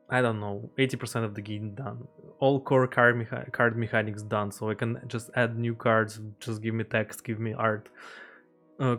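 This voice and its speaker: A man whose first language is not English talks casually through his indie game, stopping and restarting often and filling the gaps with ums and uhs. His pitch sits in an ordinary middle range, and faint music plays behind him. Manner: halting, many fillers and restarts. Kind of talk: casual devlog voice-over over gameplay.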